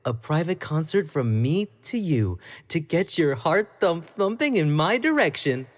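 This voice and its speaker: A man's voice: a little high, sing-song, unhurried. An actor playing an obsessive, clingy young male pop idol in an audio roleplay, talking to the listener in a coy, playful, doting way. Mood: coy, indulgent. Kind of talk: scripted roleplay monologue to the listener.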